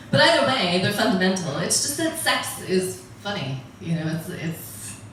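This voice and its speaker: A woman's voice: mid-range voice, sing-song, a little slow, a bit bright, slight background noise. A middle-aged American woman doing stand-up comedy, chatty and wry, laughing at her own jokes. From United States. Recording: echoey room, voice from a distance.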